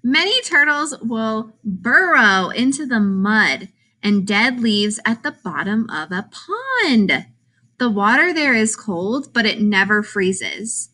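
A woman reading a children's picture book aloud like a teacher, putting expressive emphasis on questions and answers. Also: on the high side, slow pace.

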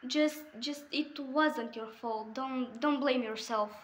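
A woman's voice: high voice, slow pace, slight background noise. A young woman giving casual, emotional reaction commentary in a hesitant, tender way. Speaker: reaction video maker.

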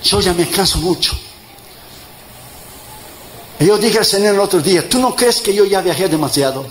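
Tired evangelist guy in his 50s, emphatic, jokey then booming, echoey hall, some noise. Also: moderate pace. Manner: emphatic, swings from joking asides to loud rising exhortation. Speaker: evangelist preacher. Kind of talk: sermon. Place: church in front of a congregation. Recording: echoey church with crowd and noise.

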